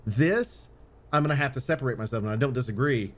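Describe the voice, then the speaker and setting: A man's voice: medium pitch, fast, a bit bright. Casual, rambling man podcaster. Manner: rambling, repetitive, swears casually. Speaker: podcast host. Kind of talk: casual opinionated chat.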